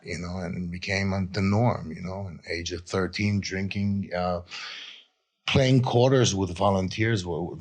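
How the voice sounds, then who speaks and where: Male interview guest from Israel, very low pitch. Addiction coach telling personal stories in a halting, reflective way. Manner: halting and reflective, often adds "you know". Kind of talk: candid personal storytelling in an interview.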